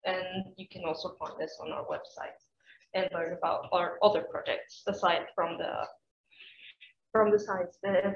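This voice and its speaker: A woman hosting a science talk event, introducing it hesitantly with fillers and self-corrections. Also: lowish voice. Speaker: event host. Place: lecture room. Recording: echoey, muffled room recording.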